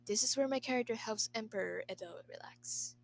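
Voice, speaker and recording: A woman voicing a smooth-talking young nobleman who acts a devoted retainer, softly and earnestly, in a story. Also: fairly high pitch, fairly quick, a little hiss or hum. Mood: excited. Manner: soft, coaxing, earnest.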